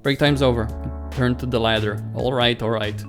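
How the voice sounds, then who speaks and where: A man's voice: medium pitch, fairly even intonation, normal speed. A man hosting a let's play, reading visual novel dialogue aloud and adding casual commentary, stumbling and correcting himself as he goes. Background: game music.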